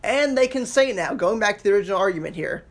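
High-voiced American man talking sports. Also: lively intonation, fast. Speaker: sports podcast co-host. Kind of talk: casual sports debate.

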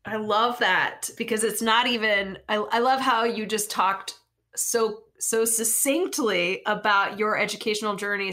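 A woman's voice: mid-range voice, pushed and bright. A woman hosting an interview who affirms her guest and asks thoughtful follow-up questions. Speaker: host.

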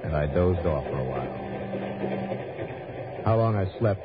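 An actor playing a cool, wry private investigator with a dry, easygoing manner in an old radio drama. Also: male voice, sits low, expressive, measured pace, very noisy. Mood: wry, narrating. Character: cool, wry male private investigator narrating his case. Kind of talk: 1950s-60s radio drama narration and acting. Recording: dull old radio recording, music behind.

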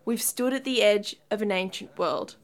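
A woman's voice: mid-range voice, animated, natural voice. Woman playing an earnest female scientist lecturing. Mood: earnest, wondering. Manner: earnest, measured, educational. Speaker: scientist presenter. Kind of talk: audio drama scripted lecture.

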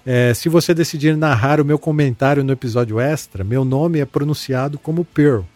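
A man hosting a casual podcast. He chats in a relaxed way and reads listener messages aloud, with many fillers and repeated words. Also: medium pitch, fairly quick, no room sound, soft background music. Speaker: podcast host.

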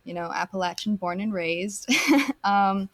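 A woman's voice: mid-range voice, fairly even intonation, a little slow, no echo. A young woman, a college student speaking casually and chattily on a panel. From West Virginia. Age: young adult. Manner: casual, chatty, laughs easily.

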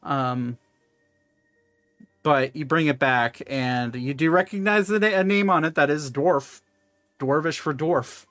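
A man running a tabletop game as game master, narrating in a halting, conversational way and often correcting himself. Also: on the high side, fairly bright tone, no room sound, clean studio sound, soft background music.

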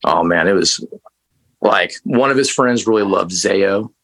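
A low-voiced man from West Texas, talking as a guest in a casual interview. He tells his stories loosely and easily, full of "like" and "you know", with a bit of casual swearing. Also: measured pace, gravelly, a bit bright, poor audio. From West Texas, USA. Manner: laid-back, loose storytelling with fillers and mild swearing. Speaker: interview guest, band musician.

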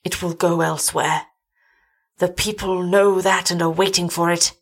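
Woman voicing an anguished, despairing older gentleman. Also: sits high, level tone, normal speed. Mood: weary, bleak. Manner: formal, melodramatic, weary speech. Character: anguished, despairing older gentleman, village husband haunted by horror.

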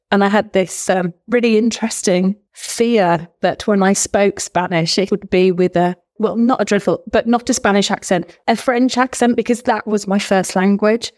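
English woman in her 40s, ex teacher, chatty and excited, laughs a lot. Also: medium pitch. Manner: chatty, enthusiastic, laughs often. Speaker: guest, former language teacher. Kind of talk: casual interview chat.

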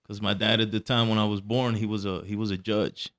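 A man's voice: fairly low pitch, flat delivery, fairly quick, fairly bright tone. A man from Puerto Rico and Chicago, a podcast guest chatting casually in street slang with frequent conversational tags. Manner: slangy, punctuated with "you know what I'm saying". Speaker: podcast guest, event promoter. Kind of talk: casual autobiographical chat.

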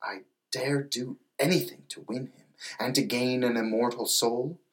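Storybook young mermaid read by a man: yearning, earnest, pleading, unhurried. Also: mid-range voice, steady tone, natural voice, rough recording. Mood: resolved.

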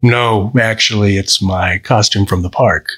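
A man puts on a sweet, confident flirt for an audio story. The voice is husky and breathy, with a gentle, mischievous manner. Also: on the low side, steady tone, unhurried, pitched below the performer's own voice. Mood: mischievously flirty.

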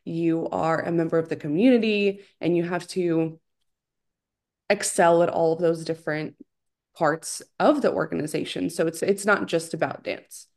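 A woman's voice: lowish voice, fairly even intonation, normal speed, a little mellow. A young woman from Ukraine, a former professional dancer, chatting as a guest and telling her story in a friendly way. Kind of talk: casual interview.

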